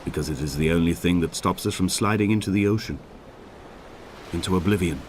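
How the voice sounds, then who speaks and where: An actor playing a grieving, weary male castaway, narrating a video game's literary monologue in a solemn, measured, poetic way. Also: sits low, slight background noise, lightly acted. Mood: brooding. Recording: voice over music.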